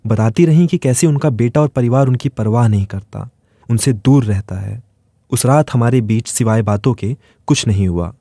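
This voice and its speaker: A man's voice: medium pitch, moderate pace, dark tone, no echo, clean studio sound. A man reading a scripted first-person dramatic story as its narrator.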